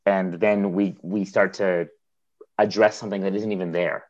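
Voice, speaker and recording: A man's voice: sits low, flat delivery, normal speed, dull audio. Reflective man in casual chat. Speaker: conversation partner, longtime friend.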